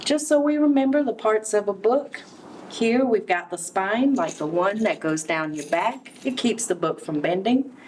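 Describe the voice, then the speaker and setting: A woman reading a storybook aloud to young children, expressive, with explanatory asides. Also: mid-range voice, normal speed. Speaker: Head Start center director reading to children. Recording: distant mic, clear background noise.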